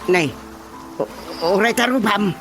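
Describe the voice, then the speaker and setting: A woman's voice: medium pitch, a little slow, a bit bright, faint music behind, lightly acted. An actress playing a village chairman in a radio comedy drama, exclaiming and incredulous. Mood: startled, concerned.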